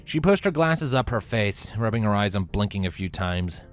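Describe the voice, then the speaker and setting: A man's voice: fairly low pitch, expressive, normal speed, clear, resonant tone, soft background music. A man giving scripted narration of a fan fiction story as the narrator.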